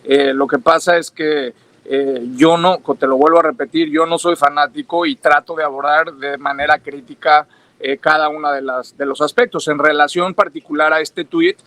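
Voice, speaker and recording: A man's voice: a little high, steady tone, moderate pace, sharp tone, no echo. A Mexican man giving long, reflective interview answers, hesitating often with fillers and restarts. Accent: Mexican Spanish. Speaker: interview guest.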